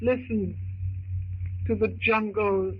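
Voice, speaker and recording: A woman's voice: mid-range voice, fairly even intonation, slow pace, husky. A woman playing a frightened young wife stranded in the jungle, with breathless, melodramatic dread in a 1930s radio style. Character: frightened English wife stranded in the jungle, anxious, brave-faced. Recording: muffled, hissy 1930s radio recording.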